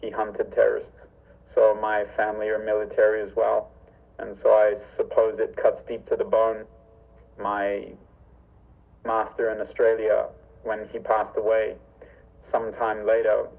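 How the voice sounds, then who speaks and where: A man from Zimbabwe with a low, gravelly voice that stays on a narrow, even pitch. He talks earnestly and formally, in a reflective way, joining the talk by video call from his home. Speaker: martial arts instructor. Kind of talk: remote interview conversation. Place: home with a library.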